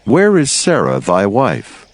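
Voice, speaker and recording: An actor playing a calm, stately, mysterious heavenly visitor in a dramatized scripture reading. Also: male voice, fairly low pitch, takes it slowly, heavily acted.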